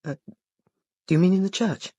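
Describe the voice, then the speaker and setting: An actor playing a polite, earnest teenage schoolboy in a radio play, speaking hesitantly and deferentially. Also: male voice, high-pitched, moderate pace, dark tone, slightly rough, no echo, dull audio. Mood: hesitant, uneasy.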